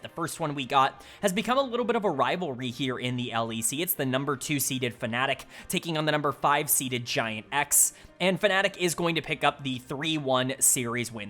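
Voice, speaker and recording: A man with a slightly raspy voice from casting, giving fluent, opinionated solo esports analysis and talking straight to his viewers. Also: medium pitch, a bit bright.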